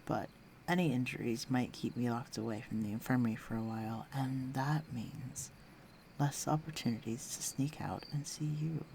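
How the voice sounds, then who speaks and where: A man's voice: medium pitch, fairly even intonation, normal speed, darkish tone, a little hiss or hum, lightly acted. A man playing a flirtatious, teasing villain with a secretly tender side, speaking intimately to the listener in an audio roleplay. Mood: flirtatious, wry. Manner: teasing, coaxing, breathy murmurs.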